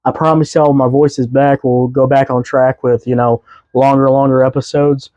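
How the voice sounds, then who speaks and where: A young man hosting a casual music review podcast, his voice a bit hoarse, rambling loosely with fillers and restarts. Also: mid-range voice, level tone, moderate pace, dark tone, no echo, highs cut off. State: voice slightly hoarse.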